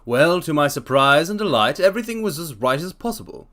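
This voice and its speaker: A man's voice: on the high side, very animated, a little fast, bright, forceful tone. A man voicing an earnest middle-aged shopkeeper who tells his own story plainly.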